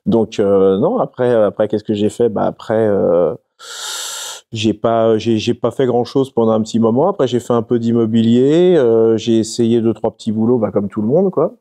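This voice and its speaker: A young adult man from France, a guest talking casually in an interview, halting and full of fillers. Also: on the low side, mellow. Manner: halting, many fillers and restarts.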